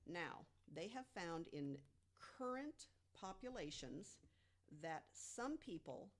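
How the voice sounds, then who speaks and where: A woman with a low voice talks through researched material on a casual podcast. She is emphatic and deliberate, often setting up points with rhetorical questions. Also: very animated. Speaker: podcast guest panelist. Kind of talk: informal podcast storytelling from research notes.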